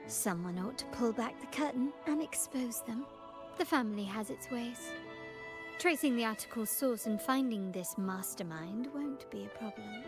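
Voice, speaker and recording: A composed, resolute noblewoman from a fantasy game. Her voice sits fairly high, formal and measured, with quiet assurance. Violin music plays clearly behind her. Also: animated. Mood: determined, composed. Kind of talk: scripted video game voice acting. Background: background music with violin.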